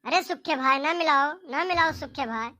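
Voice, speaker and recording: Lady doing a high bright whiny village boy, hapless, awadhi accent, comic cartoon pleading. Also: moderate pace. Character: hapless young farm son, pleading and complaining. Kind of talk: amateur comic cartoon dialogue.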